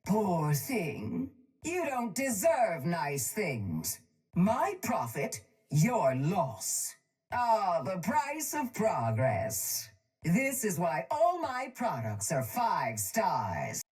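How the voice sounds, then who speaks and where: Cold posh villainess tycoon from a game, low voice, really slow n menacing, super haughty. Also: female voice, huge pitch swings, darkish tone, full character voice. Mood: cool, menacing. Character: cold, posh, ruthless female crime-boss tycoon. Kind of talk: video game character voice lines.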